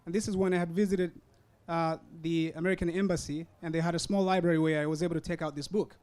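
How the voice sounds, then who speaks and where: A young man from Rwanda speaks in a notably high, light register. He addresses an audience at a university event in earnest, measured sentences. English is his second language, and he pauses to gather his words now and then. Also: little pitch movement, darkish tone, clean tone. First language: not English. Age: young adult. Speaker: student speaker. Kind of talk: prepared public talk. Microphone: hand-held or stand mic.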